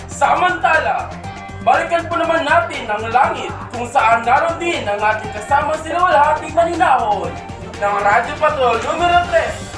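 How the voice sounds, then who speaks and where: Lady doing a high voiced formal radio anchor, echoey, music playing behind. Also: animated, normal speed, far from the mic. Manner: formal, courteous. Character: formal radio news anchor handing over to reporters. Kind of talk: parody radio news anchoring.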